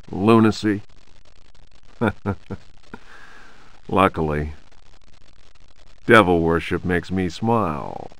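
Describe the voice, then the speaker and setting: An actor playing a wry, hard-boiled private investigator, narrating a video game monologue in a measured, sardonic way. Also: male voice, low voice, a bit bright, no echo, full character voice, poor audio. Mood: wryly amused.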